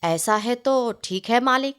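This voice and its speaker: Woman playing an obliging village milkman, lowered voice. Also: expressive, unhurried, a bit bright, clear, resonant tone. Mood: helpful. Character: obliging village milkman, respectful to his landlord. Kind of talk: storybook character dialogue.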